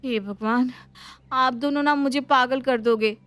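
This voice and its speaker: A woman's voice: sits high, steady tone, measured pace, a bit bright, pitched above the performer's own voice. A woman voicing an eager, chatty young bride who questions everything and gets flustered easily, in a story. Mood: exasperated.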